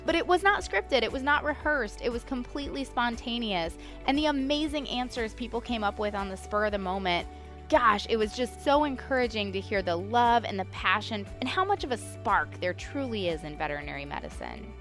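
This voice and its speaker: A woman's voice: a little high, lively intonation, fairly quick, a bit bright, soft background music. A woman hosting a podcast, talking enthusiastically and gushing about her guests. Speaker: podcast host. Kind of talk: upbeat podcast intro and booth interviews.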